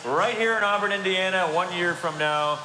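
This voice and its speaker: A man presenting auction lots, reading out the details in a brisk, polished way. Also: high voice, bright, forceful tone. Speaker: auction announcer. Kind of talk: lot presentation. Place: large auction hall. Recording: echoey hall with clear noise.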